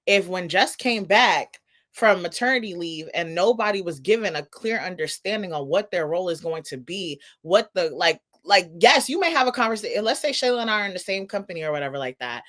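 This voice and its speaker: A woman speaking at an average pitch as a podcast co-host. She lays out her view casually but emphatically, working through it step by step and repeating key points to make them clear. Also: animated, fairly quick, a bit bright. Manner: explanatory, emphatic, restates points for clarity. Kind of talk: casual opinion discussion.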